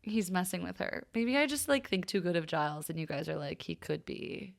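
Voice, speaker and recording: A woman's voice: on the low side, lively intonation, fairly quick, vocal fry. A woman podcast co-host discussing a TV show casually with friends, informal and slangy, often saying "like". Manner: informal, slangy, heavy use of "like".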